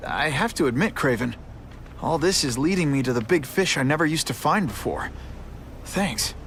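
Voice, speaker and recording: Game hero: young, quippy, animated delivery, slightly raised pitch. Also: male voice, normal speed, background noise. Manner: joking, quippy. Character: young wisecracking male superhero. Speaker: game protagonist.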